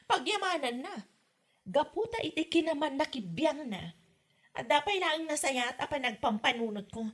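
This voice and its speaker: A woman with an Ilocano accent playing a brash, dramatic young mother in a comedy drama, with exclaiming, drawn-out delivery. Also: high-pitched, lively intonation. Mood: complaining. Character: brash, dramatic young mother defending her love life.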